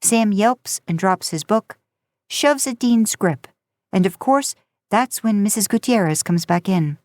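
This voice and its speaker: A woman's voice: medium pitch, animated, measured pace, darkish tone, no echo. A woman reading a story aloud in audiobook-style narration. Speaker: podcast host and fan fiction narrator.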